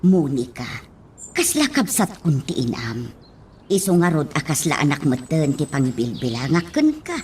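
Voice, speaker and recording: Low-pitched, motherly older nanny. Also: expressive, moderate pace, darkish tone, slight background noise. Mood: fond, chiding. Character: devoted motherly female nanny, older, gently chiding. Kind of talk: Ilocano radio drama acting.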